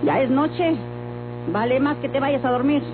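A woman's voice: sits high, normal speed, lightly acted. An actress playing a suspicious, scolding country mother in an old radio melodrama. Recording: tinny old radio recording, music behind.

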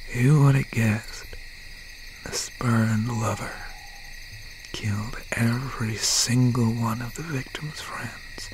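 A man playing an obsessed, bitter young serial killer boyfriend in an ASMR roleplay, speaking with breathy, half-whispered menace and pauses. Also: mid-range voice, noisy background, natural voice. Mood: bitter, menacing. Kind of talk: ASMR roleplay, dramatic monologue. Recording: steady nighttime ambience over the voice. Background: crickets, insects and owl night sounds.

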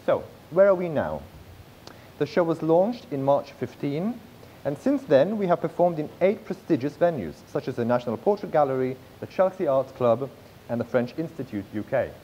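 Man reading a business pitch on stage. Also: sing-song, normal speed, dull audio, slight background noise. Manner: reads from a script, some slips and restarts. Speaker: presenter pitching a project. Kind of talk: read-aloud business pitch. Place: large theatre stage.

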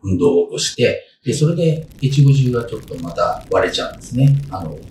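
Casting: man, 60s, expert guest, halting explainer with animated intonation, recorded roomy and distant. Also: mid-range voice, vocal fry. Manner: halting, frequent pauses while reasoning aloud. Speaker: guest historian. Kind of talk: explanatory interview answers, conversational. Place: small indoor room, seated at a table. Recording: roomy, distant mic, faint noise.

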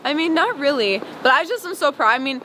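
A woman's voice: high-pitched, animated, brisk, bright, forceful tone. A young woman from Wisconsin, a singer, chatting casually and giggling with lots of "like" and "um" while she walks down a sidewalk in an interview. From Wisconsin, United States. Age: young adult. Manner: chatty and giggly, many "like" and "um" fillers. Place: outdoors on a city sidewalk, walking. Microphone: handheld camera at close range. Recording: street noise behind the voice.

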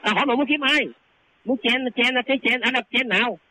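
A man doing an angry older man who hurls threats down the phone. The voice is very high and strikingly bright, and it comes in quick bursts. The line sounds thin and tinny. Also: faint music behind, full character voice. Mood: agitated. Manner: shouting threats, agitated.

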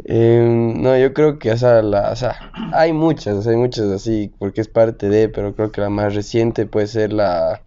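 A young man, telling racing stories slowly and thoughtfully in a casual chat. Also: on the low side, fairly even intonation, mellow, lo-fi sound. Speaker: guest rider.

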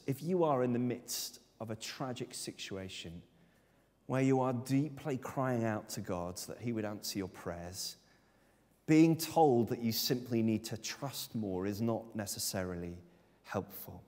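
A man's voice: medium pitch, expressive, measured pace, a little mellow. A man in his thirties preaching a sermon at a lectern in a church, earnest and emphatic. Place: church sanctuary, speaking at a lectern. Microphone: far camera. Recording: long church reverb.

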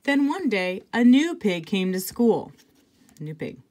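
Woman, unhurried pace, mid-range pitch, children's read-aloud, conversational asides, guiding questions. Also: big pitch swings, darkish tone. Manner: conversational, pointing things out and asking check-in questions. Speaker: intervention specialist reading to children. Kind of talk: picture book read-aloud with casual asides.

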